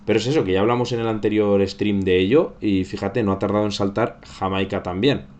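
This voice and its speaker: Spanish guy from spain w deep voice, chatting about the news on stream, repeats and rewords stuff a lot. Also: steady tone, fairly quick, a little mellow, highs cut off. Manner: conversational, repeats and rephrases phrases. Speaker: host of a current affairs livestream. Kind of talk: casual live news commentary.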